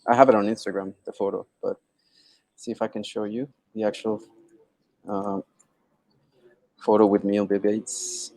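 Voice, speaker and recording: Gravelly low-voiced man chatting informally. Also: little pitch movement, moderate pace, pauses often, mellow, no echo. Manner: informal, often checking with "right?" and "you know?". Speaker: interview guest, tech entrepreneur. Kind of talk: casual video-call interview, telling an anecdote. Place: online video call.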